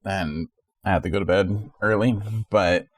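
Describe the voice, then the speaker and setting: A man's voice: low voice, fairly even intonation, a little slow. A man co-hosting a podcast, chatting casually in a laid-back, informal way. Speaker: podcast co-host. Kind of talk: casual chat.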